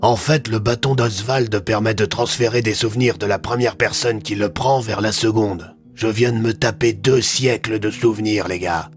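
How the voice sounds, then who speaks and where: Audio drama: weary, blunt police commissioner, authoritative explainer who swears, low pitch, faint music bed male narrator acting. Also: moderate pace, hardly any pauses, close to an everyday voice. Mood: stunned, explaining. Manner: explains at length, swears mildly.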